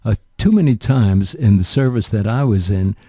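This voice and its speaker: A man's voice: fairly low pitch, lively intonation, moderate pace, warm tone, dry recording, studio quality. An older man hosting a radio interview, asking chatty, rambling questions full of asides. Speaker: radio show host.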